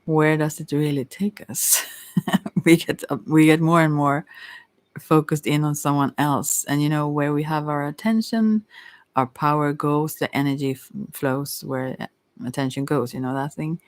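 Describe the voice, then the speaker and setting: A woman's voice: low voice, steady tone, measured pace, dry recording. Hesitant woman giving a close, dry ASMR reading. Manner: hesitant, stammering, often trailing off and rephrasing. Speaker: tarot reader. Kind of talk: intimate ASMR tarot reading, casual and rambling.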